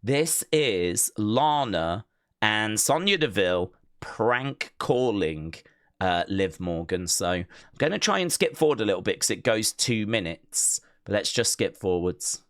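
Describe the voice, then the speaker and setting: A man's voice: on the low side, animated, unhurried, no echo, studio quality. A man hosting a wrestling news show, chatting informally as he reacts to clips and explains them step by step. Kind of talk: casual news commentary and clip reactions.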